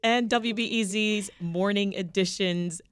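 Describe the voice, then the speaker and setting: A woman hosting a radio panel discussion, lively and quick to laugh, with short interjections. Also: medium pitch, clear, resonant tone. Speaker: radio show host.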